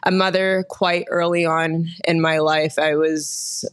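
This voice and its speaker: A woman in her thirties with a slightly low voice. She talks as a guest in a casual interview, telling personal stories in a chatty way. She is from Texas and laughs easily. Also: little pitch movement, slow pace, clear, resonant tone. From Austin, Texas, United States. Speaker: podcast guest, nurse injector.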